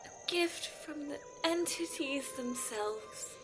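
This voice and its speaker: A woman's voice: sits high, slow, over music. A woman acting a solemn, commanding judge of a fantasy council, speaking formally and regally. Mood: reverent. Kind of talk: fantasy audio drama acting.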